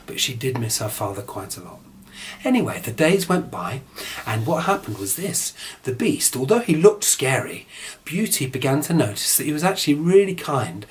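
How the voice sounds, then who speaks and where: A man's voice: medium pitch, a little hiss or hum. A man telling a children's fairy tale informally, with chatty, wandering asides. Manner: chatty, digressive, mock-casual asides. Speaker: storyteller. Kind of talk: informal improvised children's storytelling.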